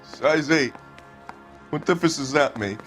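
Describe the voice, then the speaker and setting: An actor playing a defensive, hot-tempered husband under police questioning in a crime video game, answering bluntly. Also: male voice, on the high side, a little slow, a bit bright, soft background music. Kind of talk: acted dramatic video game dialogue.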